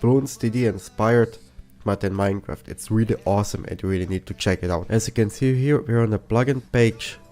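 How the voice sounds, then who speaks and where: A young man with a slightly sick voice talks through a game plugin, often rambling and restarting his sentences. His voice sits on the low side and sounds dark and mellow. Faint music plays behind him. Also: fairly quick. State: sick, voice a bit off. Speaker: plugin reviewer. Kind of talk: casual tutorial walkthrough.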